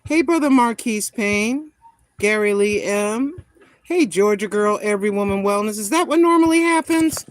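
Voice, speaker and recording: A woman in her sixties chatting casually with viewers on a live stream, greeting them by name and talking her thoughts through out loud. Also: mid-range voice, lively intonation, normal speed, dry recording. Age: 60s. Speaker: vlogger greeting live chat.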